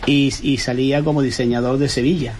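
A man hosting a casual live-stream chat, agreeable and quick to affirm his guest. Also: mid-range voice, level tone, darkish tone, a little hiss or hum. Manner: agreeable, frequent affirming interjections. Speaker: host, former art school director.

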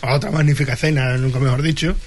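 A man from talking casually about film as a panelist, halting and correcting himself as he goes. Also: medium pitch, steady tone. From Spain. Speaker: podcast panelist.

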